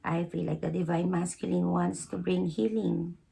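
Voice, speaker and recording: A woman with a hoarse, deeply gravelly voice gives a tarot reading, her tone low and flat. She talks slowly and haltingly, filling pauses with soft hesitations. Her voice is husky and mellow. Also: low-quality recording. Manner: slow, halting, many filler pauses. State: losing her voice. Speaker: tarot reader.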